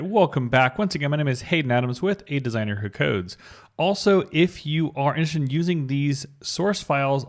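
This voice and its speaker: A man giving an upbeat, informal screencast coding tutorial, explaining things step by step. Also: medium pitch. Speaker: web developer giving a tutorial.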